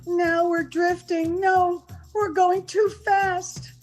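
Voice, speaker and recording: Desperate woman accomplice acted by a woman, vintage radio horror: very high voice, drawn-out melodramatic pleading. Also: little pitch movement, faint music behind. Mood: terrified. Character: frightened, self-excusing woman accomplice, desperate to escape. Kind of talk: old-time radio horror acting.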